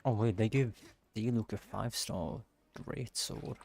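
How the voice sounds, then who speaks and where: A man's voice: fairly low pitch, a little slow, gravelly, darkish tone, faint music behind. A man giving casual commentary as he streams a game, musing aloud and asking himself questions. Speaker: gaming streamer.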